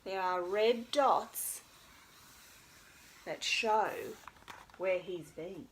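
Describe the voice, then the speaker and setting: A woman's voice: mid-range voice, very slow, slight background noise, rough recording. A woman hosts a storytime for young children, speaking in an encouraging, sing-song way with simple phrases. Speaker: children's storytime host at a library.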